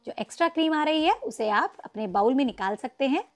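A woman's voice: high-pitched, expressive, fast talker, soft background music. A woman narrating a step-by-step cake icing tutorial, patiently instructing viewers and calling them friends. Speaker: cooking channel host. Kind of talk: step-by-step baking tutorial voice-over.

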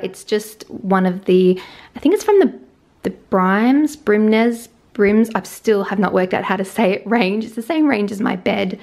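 A young woman in her twenties with an Australian accent, slightly hoarse, chatting scatterbrained and self-mocking as she films an apartment tour on a handheld camera. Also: mid-range voice, darkish tone. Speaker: lifestyle vlogger. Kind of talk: casual apartment tour vlog. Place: small apartment indoors and balcony. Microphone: handheld camera at arm's length.

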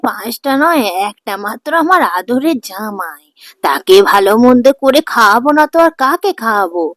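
A woman doing high-pitched, fussing cartoon family woman. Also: no echo, clean studio sound. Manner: fussing, affectionate scolding. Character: doting, fussing mother-in-law and her chiding married daughter. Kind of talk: Bengali cartoon folk-tale dialogue.

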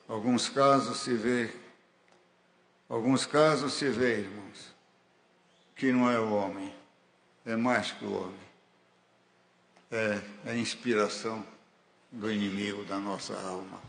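A man with a Brazilian Portuguese accent preaching a fervent sermon in a church hall, building to emphatic declarations. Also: medium pitch. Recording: echoey church hall with faint ambience.

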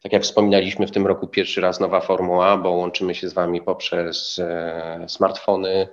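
A man in his thirties co-hosting a live festival stream from a studio, chatty and filling pauses with hesitations. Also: low-pitched, monotone, lo-fi sound. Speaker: festival co-host. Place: studio set in an institute.

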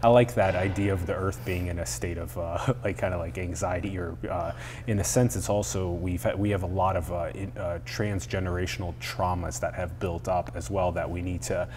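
A man's voice: sits low, steady tone, moderate pace, a little mellow, a little hiss or hum. A young man hosting an interview, talking casually with laughs and many fillers. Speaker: host. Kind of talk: conversational interview. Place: indoor atrium with plants and glass.

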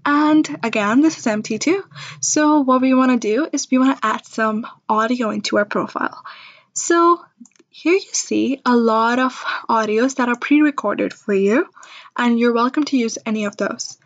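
A woman narrating an informal screen-recorded software demo in a chatty, reassuring, step-by-step way. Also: a little high, normal speed, dry recording, lo-fi sound. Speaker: software demonstrator.

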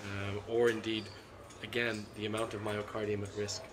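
Measured man in a flat, low voice. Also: noisy background. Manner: measured, analytical, many restarts and ums. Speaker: interventional cardiologist guest. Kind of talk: conference panel interview. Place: seated at a conference booth indoors. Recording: constant conference hall ambience.

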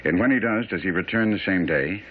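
An actor playing a shrewd, commanding Victorian detective in a radio drama, questioning with incisive authority. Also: male voice, sits low, fairly quick, narrow, tinny audio. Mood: brisk, decisive. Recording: noisy, band-limited old radio broadcast.

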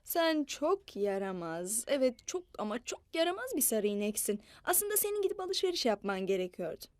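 A woman's voice: on the high side, sing-song, moderate pace, no room sound. A woman voicing a cheerful entertainer who coaxes children in a playful, sing-song way and moos at them. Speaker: children's show storyteller. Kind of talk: animated dubbed children's narration.

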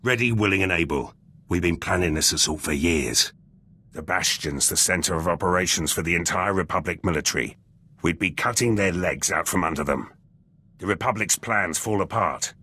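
An actor playing a blunt, battle-hungry special forces soldier in a video game, gruff and husky, speaking in clipped, terse sentences. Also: male voice, sits low, normal speed, sharp tone, full character voice. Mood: eager, determined. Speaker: soldier companion character. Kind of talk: video game dialogue acting. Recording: music under the voice.

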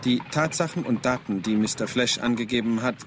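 An actor playing a deferential, literal-minded police assistant in a radio drama, stiff, clipped and deadpan. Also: male voice, medium pitch, brisk, lightly acted. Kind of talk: German radio drama acting. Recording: clear vehicle noise behind. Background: moving-vehicle sounds.

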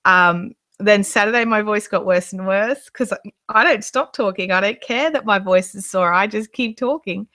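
A chatty woman in her thirties shows off yarn on a casual live vlog. Her middle-pitched voice rambles along with ums, restarts and fond exclamations. She sits on a couch in her living room, close to the camera. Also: moderate pace, bright, forceful tone. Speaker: yarn dyer and vlogger. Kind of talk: casual live chat show-and-tell.

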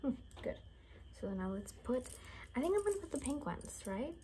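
A young woman doing an ASMR roleplay in soft, half-whispered, gossipy rambling chat. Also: high-pitched, very animated, normal speed, a little hiss or hum. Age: young adult. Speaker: ASMR performer. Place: small bedroom, indoors.

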